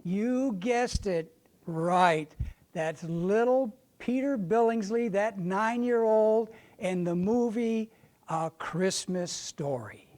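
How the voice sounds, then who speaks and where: An older American woman from Ohio giving a prepared, theatrical stage talk, deliberately addressing her audience and reminiscing. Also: mid-range voice, darkish tone. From suburban Ohio, United States. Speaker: presenter, readers' theater member.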